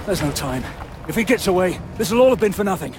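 An actor playing a battle-hardened British soldier in a game, speaking with urgent strain to a comrade. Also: male voice, high-pitched, lively intonation, fast talker, over music.

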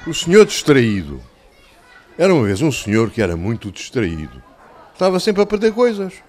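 An older man with a European Portuguese accent speaks to a group of children in the manner of a storyteller. His voice is mid-range and a little hoarse. He is conversational and instructive, often repeating a phrase to make it stick, and speaks into a handheld microphone. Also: mid-range voice, wildly swooping pitch, normal speed, darkish tone. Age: 60s or older. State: slightly hoarse. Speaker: children's author. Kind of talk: talking and telling stories to schoolchildren. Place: covered outdoor tent in a town square.

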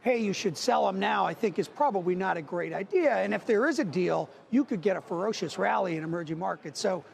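A middle-aged man speaking as a market strategist on a TV news set, arguing points quickly with many figures. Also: sits high, gravelly, a little hiss or hum. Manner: dense with figures, argumentative. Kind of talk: financial news analysis. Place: TV studio on a trading floor.

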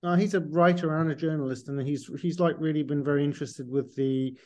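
Mellow, halting man on a call. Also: on the high side, fairly even intonation. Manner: halting, often rephrasing. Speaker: co-interviewer. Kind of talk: casual, discursive interview talk. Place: indoors, book-lined room at home, on a video call.

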